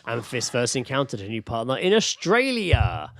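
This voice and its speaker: British male podcast co-host, lively swooping intonation, amused and sweary, dry recording. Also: mid-range voice, normal speed, dry recording. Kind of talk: casual comedy chat, reading news aloud.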